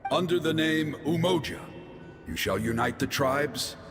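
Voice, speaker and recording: A man's voice: on the high side, a little slow, gravelly, a bit bright. A man voicing a solemn, noble ancient giant warrior in a video game, with stately, encouraging oratory. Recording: long reverb, music behind.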